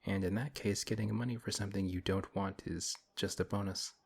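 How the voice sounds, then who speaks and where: A man playing a big, principled, gently protective paladin in a fantasy audio roleplay, speaking in a measured, earnest way with hesitant pauses and a husky voice. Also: sits low, steady tone, heavily acted. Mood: serious, questioning. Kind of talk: fantasy audio roleplay, one-sided intimate conversation.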